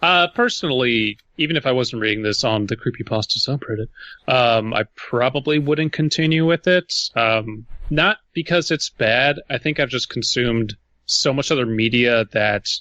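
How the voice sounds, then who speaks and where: A man's voice: mid-range voice, normal speed, sharp tone, no room sound, lo-fi sound. A man co-hosting a podcast, giving his opinion of a story in casual, conversational critique. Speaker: podcast co-host.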